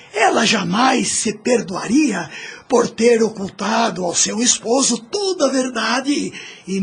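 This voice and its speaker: A man playing a gentle, solemn old grandfather in an audio drama, speaking formally and paternally with a husky, creaky voice. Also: high voice, heavily acted.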